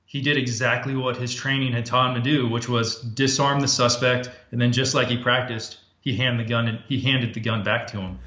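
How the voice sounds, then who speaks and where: A man talking as a podcast guest, reflective and casual, often pausing with fillers and restarting his sentences. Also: mid-range voice, steady tone, fairly bright tone. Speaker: podcast guest, former Army Ranger officer. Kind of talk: casual interview talk. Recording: dull lo-fi audio.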